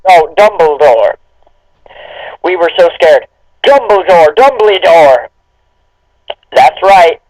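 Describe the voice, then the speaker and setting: High-voiced man, casual and dry-sounding recording. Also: expressive, takes it slowly, pauses often, darkish tone, dry recording, rough recording. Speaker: co-host reading and commenting on a fanfiction. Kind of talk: casual reading aloud with joking commentary.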